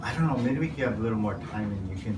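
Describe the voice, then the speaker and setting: Pastor, male, low-ish voice, loose rambling teacher; distant mic in an echoey, noisy room. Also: rapid-fire. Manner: relaxed, rambling, many "yeah" and "you know". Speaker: pastor leading a worship workshop. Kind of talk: casual workshop Q&A teaching. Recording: roomy, far from mic, noisy.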